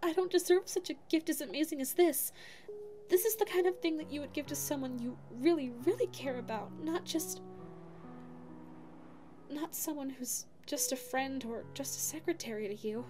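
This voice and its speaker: A woman's voice: high voice, moderate pace, music in the background, lightly acted. A woman voicing a sweet, flustered, lovestruck young female dog secretary in an ASMR audio roleplay, stammering and self-effacing. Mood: flustered, astonished. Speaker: voice actor.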